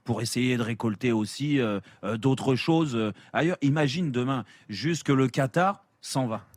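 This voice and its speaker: A man's voice: medium pitch, a little slow, no echo. A man co-hosting a radio football debate, speaking informally and challenging the guest. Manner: informal, pushes back. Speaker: co-host. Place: radio studio.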